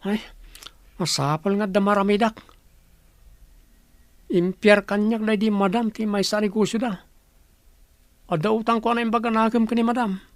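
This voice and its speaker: A man's voice: high-pitched, steady tone, normal speed, long pauses, no echo. A man playing a scheming, suspicious villager in a conspiratorial radio drama style. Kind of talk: Ilocano radio drama acting.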